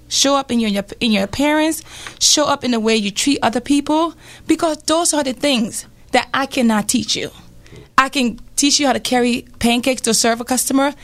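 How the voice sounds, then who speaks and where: A woman with a fairly high voice speaking as a panel guest. Her delivery is motivational and emphatic, with phrases repeated to drive them home. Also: a bit bright. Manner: emphatic, repetitive phrasing for effect.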